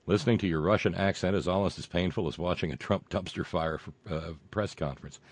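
Sarcastic low-voiced male commentary host. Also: quick pace, no room sound. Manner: mocking, builds into loud rants then drops to muttering; profane, many fillers and restarts. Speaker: livestream show host reading viewer comments.

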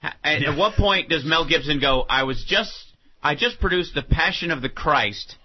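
A comedian guest, a man with a fairly high voice that swoops around a lot. He tosses out quick one-liners and mock announcements. The sound is dull, lo-fi broadcast audio. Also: animated, slight background noise. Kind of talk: comedic talk radio banter. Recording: dull, slightly lo-fi radio audio.

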